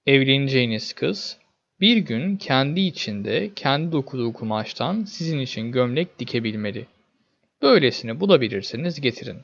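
Storybook king, stern and commanding, lively pitch swings, played by a male narrator. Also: fairly quick, creaky, highs cut off. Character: stern exacting old king setting a bridal test.

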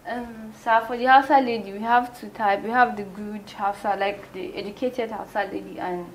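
A woman's voice: mid-range voice, steady tone, normal speed, a little hiss or hum, close to an everyday voice, poor audio. A woman with a Nigerian accent playing a polite, earnest aspiring actress at an audition. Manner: deferential, earnest.

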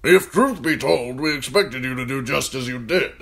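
A man voicing a gruff older military commander in an audiobook, talking bluntly and firing off needling questions. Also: mid-range voice, moderate pace, a bit bright, pitched above the performer's own voice. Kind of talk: audiobook character voice.

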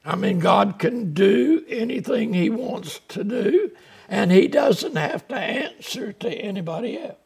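A man's voice: sits high, animated, measured pace, creaky, a little mellow, slightly rough. An older man preaching a folksy, plainspoken sermon from the pulpit of a small church, speaking through a lapel mic. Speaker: pastor.

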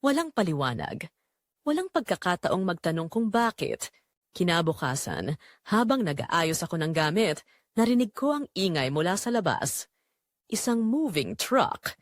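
Woman narrating as wistful young man, expressive pitch. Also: a little slow, no echo, studio quality. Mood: wistful, intimate. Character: wistful young man recalling a secret romance. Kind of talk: scripted first-person audio drama narration.

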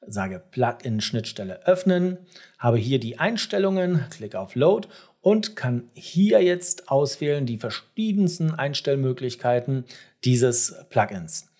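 Male tutorial host, forties, mid-pitched and a little rough-edged, direct and instructive, explaining step by step. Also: big pitch swings, slow pace, dark tone. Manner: direct, instructive, addressing the viewer. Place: small home studio room, indoors.

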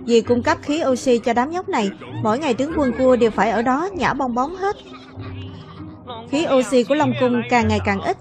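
High-pitched woman dubbing a cartoon. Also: lively intonation, brisk, music in the background. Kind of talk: dubbing all roles of an animated film in Vietnamese.

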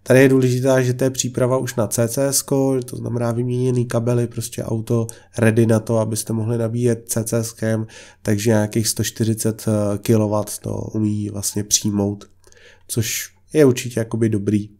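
Chatty Czech man, flat dark voice. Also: mid-range voice. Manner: chatty, heavy filler words, blunt opinions. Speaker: car vlogger. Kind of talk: casual car listing commentary.

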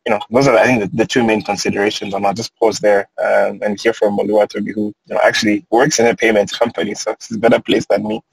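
A man in his thirties, a panelist on an online video call from inside a car, talking in a relaxed, thoughtful way with many fillers. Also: on the low side, fast talker, gravelly, hardly any pauses, slightly rough, dry recording, poor audio. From Kenya.

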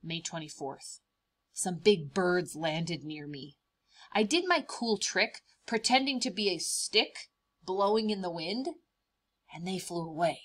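A woman voicing a cheerful, boastful young praying mantis in a picture-book read-aloud, expressive and emphatic, stretching out words. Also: on the low side, a little slow. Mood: matter-of-fact, hungry.

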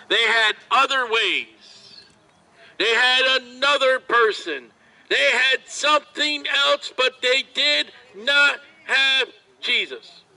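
A man preaching outdoors at a market, his voice pitched very high. He speaks very slowly, stretching his words. His tone is sharp and piercing, picked up from across the street. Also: rough recording. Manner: slow, drawn-out shouted preaching. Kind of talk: open-air street preaching.

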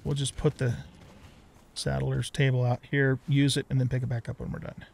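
A man's voice: mid-range voice, level tone, normal speed, gravelly, a little mellow, a little hiss or hum. A middle-aged man giving casual let's play commentary on a video game, thinking aloud and muttering to himself.

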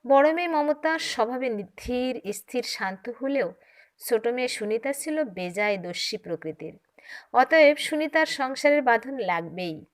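Higher-pitched woman telling a story expressively. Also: animated, unhurried. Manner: dramatic, acts out dialogue. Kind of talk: expressive audiobook-style story narration.

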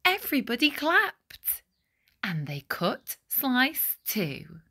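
A woman reading a rhyming children's storybook aloud with deliberate, dramatic phrasing. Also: high-pitched, huge pitch swings, bright, forceful tone, breathy edge. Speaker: picture book reader.

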